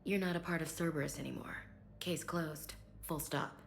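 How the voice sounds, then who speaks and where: A woman's voice: low voice, steady tone, normal speed, vocal fry. An actress playing a reserved, principled woman military officer in a video game, earnest and a little hesitant, over faint ambient noise. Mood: guarded, earnest. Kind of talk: video game cinematic dialogue acting.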